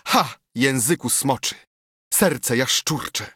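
A man voicing a passionate, theatrical noblewoman who has been jilted, speaking with melodramatic, shrill outbursts. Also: big pitch swings, a little slow, a bit bright, husky, no room sound, studio quality, heavily acted. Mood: furious.

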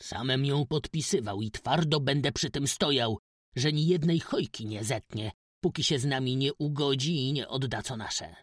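A man's voice: medium pitch, normal speed, no room sound, clean studio sound, close to an everyday voice. A man voicing a stubborn, self-righteous old peasant farmer with blunt, emphatic rustic speech in an audiobook story. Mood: stubborn, forceful.

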